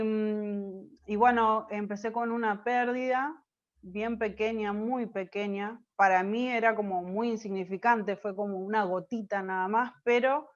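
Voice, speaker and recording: A woman from Argentina with an Argentine Spanish accent, telling a personal story as an interview guest, pausing often with fillers and restarts. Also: mid-range voice, muffled recording. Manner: hesitant, many fillers and restarts. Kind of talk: personal testimony in conversation.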